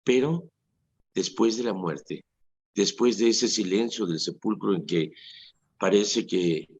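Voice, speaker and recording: A man's voice: lowish voice, slow pace, a little hiss or hum. A Mexican priest in his sixties preaching earnestly on a radio show, acting out quoted remarks as he goes. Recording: dull lo-fi radio recording.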